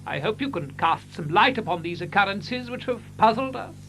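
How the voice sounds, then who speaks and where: High-voiced, sly gentleman villain. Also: animated, moderate pace, faint music behind, low-quality recording. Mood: guarded politeness. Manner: fussy, stammering, exclaiming. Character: sly villainous gentleman, feigning shocked concern and innocence. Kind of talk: audio drama acting.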